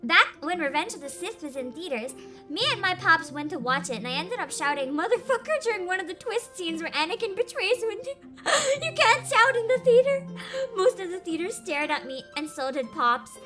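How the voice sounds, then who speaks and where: A woman hosting a casual chat stream, telling embarrassing stories in a high voice and laughing often. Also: normal speed. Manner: giggly, laughs often, animated storytelling. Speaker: VTuber streamer host. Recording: music playing behind.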